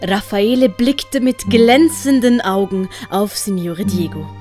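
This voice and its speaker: A woman's voice: medium pitch, takes it slowly, mellow. A woman with a Swiss German accent reading scripted narration for an audio story. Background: music.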